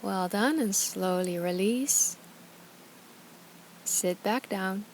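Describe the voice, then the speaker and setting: A woman leads a guided yoga practice in a gentle, soothing manner. Her voice sits at an average pitch, and she gives short, calm cues. There is some ambient noise behind her. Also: drawn-out words, poor audio. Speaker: yoga and meditation teacher.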